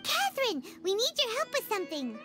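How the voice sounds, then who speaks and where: A woman voicing a tiny, childlike, excitable fairy companion in a video game, with a squeaky voice. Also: brisk, full character voice. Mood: eager. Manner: refers to self in third person, exclaims. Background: music.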